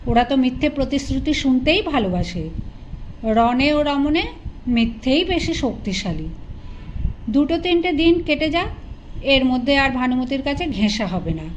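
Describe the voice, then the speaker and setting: A woman with a high-pitched voice reads a literary story aloud as an audiobook narrator. The delivery is scripted narration. The recording is dull and lo-fi, with faint noise behind the voice.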